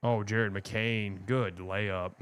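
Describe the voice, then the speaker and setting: A man's voice: lowish voice, steady tone, extremely slow, a bit bright, clear, resonant tone. A man hosting a live watch-party stream, chatting casually and bluntly about the game and answering his chat.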